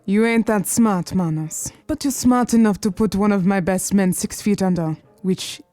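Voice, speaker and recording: A woman's voice: mid-range voice, normal speed, mellow, no echo, close to an everyday voice. An actress playing a commanding, cunning female crime boss of the 1920s in an audio drama, imperious and coolly menacing. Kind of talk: period audio drama acting.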